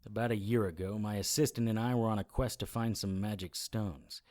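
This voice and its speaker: A man acting a brisk, commanding occult adventurer in an audio drama, instructive and matter-of-fact. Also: fairly low pitch, steady tone, darkish tone, lightly acted. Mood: grave, explaining. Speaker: lead actor and narrator. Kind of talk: audio drama acting and first-person narration.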